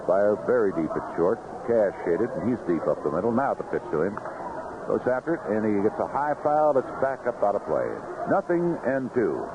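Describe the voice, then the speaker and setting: Male American baseball play-by-play voice, lively inflection, measured clipped descriptions, vintage radio recording with clear background noise. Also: on the low side, highs cut off. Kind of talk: live radio sports commentary. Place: outdoor ballpark broadcast booth. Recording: noisy vintage 1970s AM radio broadcast.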